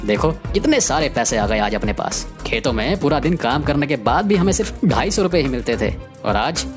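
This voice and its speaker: A woman acts a greedy, excitable farm labourer in a village cartoon. His voice sits in a man's register and moves animatedly over background music. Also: extremely low pitch, fairly quick, voice pushed down. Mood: gleeful.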